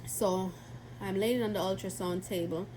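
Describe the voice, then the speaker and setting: A woman's voice: mid-range voice, steady tone, moderate pace, noisy background. A young woman vlogger with a stuffy, congested voice, chatting in a rambling, casual way with many fillers and restarts. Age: young adult. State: stuffy from a cold. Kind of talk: casual storytelling to camera.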